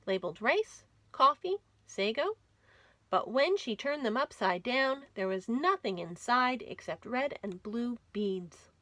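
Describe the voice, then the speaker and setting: A woman reading a children's story aloud as a storytime host, with dramatic, expressive delivery. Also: medium pitch, dry recording. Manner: dramatic, animated storytelling with whispers and emphasis. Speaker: library storytime reader.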